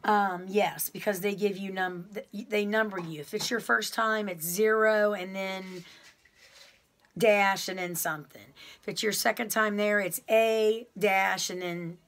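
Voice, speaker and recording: A middle-aged woman vlogs to camera, taking her time over each thought at an unhurried, drawn-out pace. Her voice is loud and carries, sitting at an ordinary pitch for a woman. She rambles warmly, sprinkling in fillers and talking to her viewers as "y'all.". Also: fairly even intonation. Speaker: vlogger recounting her time in prison. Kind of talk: casual storytelling to camera.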